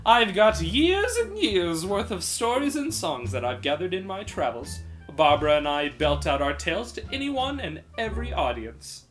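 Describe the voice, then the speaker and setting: Man putting on a sing-song, high-pitched cheerful minstrel. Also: big pitch swings, moderate pace, few pauses, fairly bright tone, faint music behind. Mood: jolly, rambling. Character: relentlessly cheerful, chatty wandering minstrel man.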